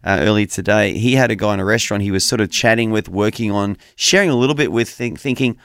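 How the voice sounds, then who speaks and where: A man's voice: fairly low pitch, fast, a bit bright, no echo. Earnest man preaching over radio. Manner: earnest, preacherly, addresses co-host by name. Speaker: radio host. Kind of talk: conversational Bible teaching on radio.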